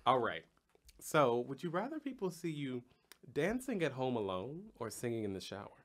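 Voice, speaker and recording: A man's voice: medium pitch, very animated, moderate pace. A man with an American accent hosting a podcast, posing game questions in playful casual chat with teasing, campy slang. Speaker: podcast host.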